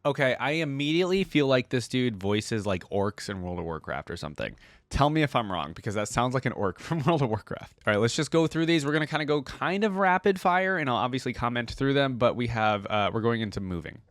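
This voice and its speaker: A man's voice: mid-range voice, lively intonation, a little fast, fairly bright tone, no room sound. Chatty man, dry close mic. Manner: chatty, informal, addresses viewers directly. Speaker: reaction video host. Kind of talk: casual reaction commentary on game lore and voice lines.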